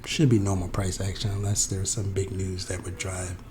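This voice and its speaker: A man with a cold-roughened, hoarse voice explaining an options trade in a halting way, full of fillers and restarts. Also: sits low, level tone, noisy background. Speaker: trading tutorial presenter. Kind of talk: unscripted screen-share explanation.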